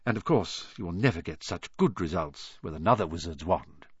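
A man voicing an eccentric, intense old wandmaker in an audiobook, soft-voiced and musing, savouring each detail. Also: low-pitched, lively intonation, normal speed, breathy edge, no room sound, lo-fi sound, voice pushed down. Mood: instructive.

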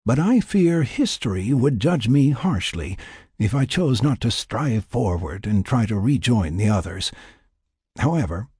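A man voicing a reflective, formal scientist keeping an expedition journal in an audiobook, in measured, wordy phrases. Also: medium pitch, sing-song, dark tone, breathy edge, no echo, studio quality, voice pushed up, full character voice. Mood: wistful, resolved. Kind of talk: first-person audiobook narration.

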